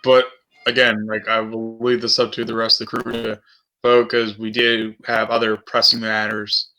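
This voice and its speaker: Bright, flat-toned man. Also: lowish voice, normal speed, vocal fry, soft background music, low-quality recording. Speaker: tabletop role-playing game player. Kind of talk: casual game talk.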